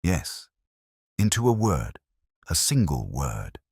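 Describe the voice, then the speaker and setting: A man's voice: extremely low pitch, huge pitch swings, slow pace, a little mellow, slightly rough, dry recording, clean studio sound, heavily acted. A man voicing a flustered, anxious village woman with a breathless, quavering delivery for a radio-style mystery story. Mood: flustered, urgent. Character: flustered, gasping village woman bringing news of an incident.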